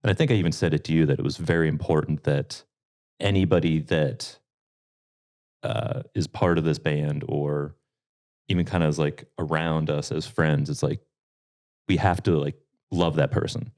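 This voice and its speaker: A man's voice: rumbling low, steady tone, normal speed, darkish tone. A man, a band member, chatting casually and joking with bandmates on a podcast. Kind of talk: casual chat.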